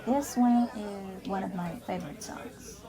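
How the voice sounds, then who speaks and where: An actress playing a gentle, sincere female folk performer introducing a cover song to fans in an audio drama. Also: medium pitch, a little slow, loud music behind the voice, over a noisy crowd, lightly acted. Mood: soft, sincere. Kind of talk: soft stage introduction and slow folk singing.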